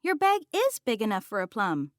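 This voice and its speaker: A woman's voice: a little high, wildly swooping pitch, normal speed, no room sound, studio quality. A woman voicing a bossy, sensible big sister mouse in a children's storybook, matter-of-fact and a little chiding. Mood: helpful. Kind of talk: children's picture-book read-aloud.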